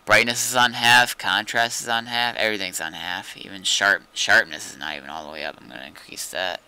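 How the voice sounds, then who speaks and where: A man's voice: deep voice, steady tone, fairly quick, sharp tone, clear, resonant tone. A man chatting casually and muttering to himself as he plays a game on stream. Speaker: streamer playing a zombie survival game. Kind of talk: casual gaming chat.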